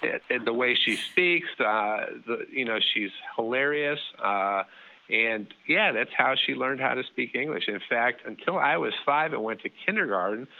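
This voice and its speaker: A middle-aged man telling a family story as an interview guest, relaxed and full of fillers and restarts. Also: medium pitch, normal speed, gravelly, phone-quality sound, slight background noise.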